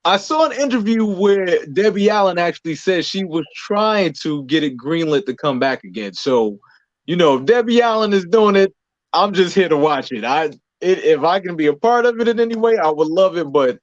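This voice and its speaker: A man's voice: on the high side, expressive, moderate pace, no room sound. A man answering interview questions as a guest in a casual, conversational style. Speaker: interview guest, performer and writer. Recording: dull lo-fi dry audio.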